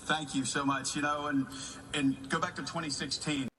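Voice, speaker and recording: A man's voice: on the high side, little pitch movement, normal speed, pushed and bright. A man speaking publicly, captured in a video clip. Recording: distant-miked video clip.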